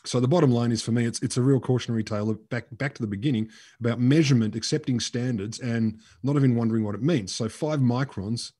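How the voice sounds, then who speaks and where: Middle-aged Australian podcast co-host, husky, fast and emphatic. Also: male voice, mid-range voice, dark tone. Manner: storytelling, emphatic, gestures while talking. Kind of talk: casual science chat. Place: home room with shelves. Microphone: headset on a video call.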